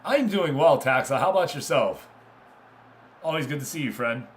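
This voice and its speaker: A man's voice: fairly high pitch, expressive, normal speed. A man doing live, informal play-by-play of a football game, chatting casually with viewers between passes. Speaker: streamer commentating a football management game.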